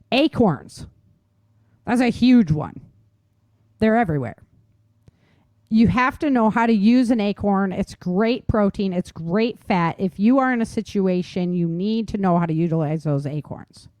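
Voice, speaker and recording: A middle-aged American woman hosts a casual podcast in a medium-pitched voice. She speaks straight to the listener with informal emphasis, like someone passing on practical advice. The sound is dry, with almost no room around it. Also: animated, measured pace, a little mellow, dry recording. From United States. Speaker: podcast host. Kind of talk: casual instructional podcast talk.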